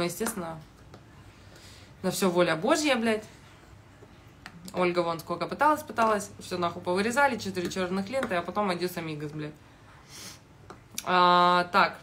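A young woman giving casual video commentary in a blunt, halting, heavily sweary manner, recorded on a phone in a kitchen. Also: lowish voice, a bit bright. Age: young adult. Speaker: reality show commentator. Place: small kitchen indoors. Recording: noticeable background noise.

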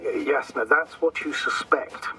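An actor playing a measured, formal spaceship officer in a video game, talking over a radio link. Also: male voice, a little high, sharp tone, recorded from a distance. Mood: cautious. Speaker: game character, commanding crewmate. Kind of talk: naturalistic video game voice acting.

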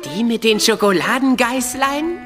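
A woman's voice: on the high side, animated, unhurried, music in the background. A woman playing a small, eager tomcat in an audio drama, courteous, earnest and a little grandiose.

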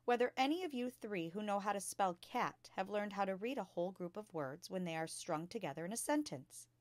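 A woman's voice: medium pitch, lively intonation, brisk, dry recording, lightly acted. Woman reading as a kind young schoolteacher lady, encouraging questions, storybook style, close dry recording. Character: kind, gentle young female primary school teacher.

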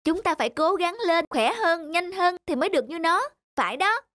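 Very high-pitched envious cartoon sled dog. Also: female voice, expressive, normal speed, a bit bright. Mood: envious, striving. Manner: exclamatory, complaining. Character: envious, grumbling young sled dogs. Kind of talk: animated cartoon voice acting. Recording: music under the dialogue.

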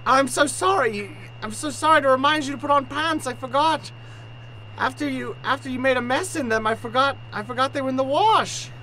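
A woman's voice: sits high, brisk, sharp tone, poor audio. A woman playing a crazy old Cockney maid who grumbles and sings at her chores in an improvised radio play. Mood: flustered, apologetic. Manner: sing-song muttering while working. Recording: faint background noise.

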